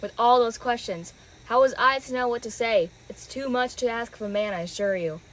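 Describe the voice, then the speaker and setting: A woman playing a smug, self-assured investor, a scheming man with measured delivery, in an old-time detective radio drama. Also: fairly high pitch, a bit bright, slight background noise, lightly acted, low-quality recording.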